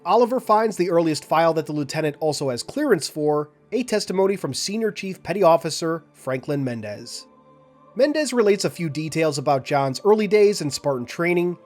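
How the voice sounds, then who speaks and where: A man's voice: fairly high pitch, a little mellow. Man narrating a scripted lore breakdown. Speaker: lore video host.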